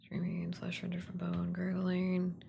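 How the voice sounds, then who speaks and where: A woman's voice: lowish voice, monotone, measured pace, vocal fry, warm tone, a little hiss or hum. A woman streamer and voice actor thinking aloud as she writes, casually muttering to herself, trailing off and repeating words. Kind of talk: casual work-along stream, thinking aloud while writing. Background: computer keyboard typing and clicks.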